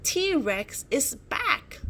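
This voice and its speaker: A woman voicing an excitable, bossy young female dinosaur friend in a children's storybook, exclaiming urgently. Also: high voice, drawn-out words, slight background noise, pitched above the performer's own voice, exaggerated voice. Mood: urgent, encouraging. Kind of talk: children's storybook character reading.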